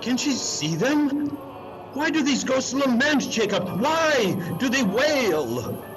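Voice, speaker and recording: A man playing an old miser in a dramatic reading, theatrical and halting, full of feeling. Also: very high voice, sing-song, music in the background, heavily acted. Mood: alarmed. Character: old miser, frightened, wistful and remorseful.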